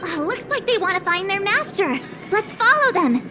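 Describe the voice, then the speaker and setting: A super high bubbly teen girl, playful, bouncy intonation, game dialogue with music behind. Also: very animated, normal speed. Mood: curious, playful. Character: curious, cheerful, excitable teenage girl. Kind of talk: video game voice acting.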